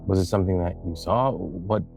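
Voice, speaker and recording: A man playing a tabletop game, giving quick, bantering replies in character. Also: sits low, creaky, mellow, faint music behind. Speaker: player.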